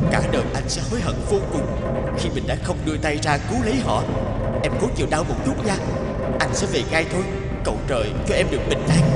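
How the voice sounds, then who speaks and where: A man playing an earnest, anxious young husband and father in a cartoon drama, speaking with emotion. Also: fairly high pitch. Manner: emotional, urgent, pleading. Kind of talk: dubbed cartoon drama acting. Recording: music behind the voice.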